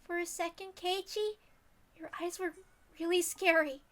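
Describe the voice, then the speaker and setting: A woman's voice: very high-pitched, steady tone, a little slow, voice pushed up. A woman voicing a timid, worried teenage girl in a visual novel, speaking hesitantly and anxiously. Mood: nervous. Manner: hesitant, soft-hearted, anxious.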